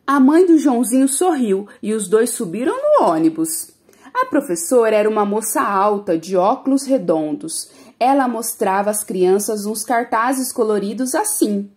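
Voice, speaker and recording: Woman reader, children's picture book, lively expressive, warm tone. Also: mid-range voice, moderate pace. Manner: gentle, expressive storytelling.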